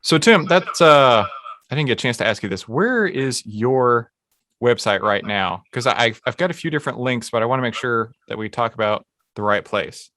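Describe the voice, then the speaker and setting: A man's voice: medium pitch, pushed and bright, no echo, clean studio sound. A man from Texas with a Texan accent, hosting an interview and asking friendly questions. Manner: friendly, quick questions with small repeats. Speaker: host.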